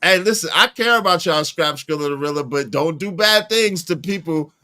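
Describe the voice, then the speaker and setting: A man hosts a casual live stream in slangy, playful talk and greets viewers by name. His voice is bright and tense and sits fairly high. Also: normal speed.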